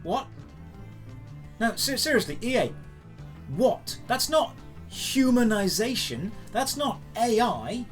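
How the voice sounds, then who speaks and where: A man's voice: very high voice, very animated, slow pace, pauses often, faint music behind. A man hosting geek news commentary, speaking in an emphatic, opinionated and sarcastic manner. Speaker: host of a daily geek news show. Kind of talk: scripted news commentary to camera.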